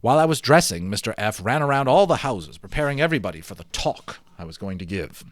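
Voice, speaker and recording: Animated male storyteller reading aloud. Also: medium pitch, quick pace, no echo, clean studio sound. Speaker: reader of a children's novel. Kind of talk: dramatic storybook read-aloud.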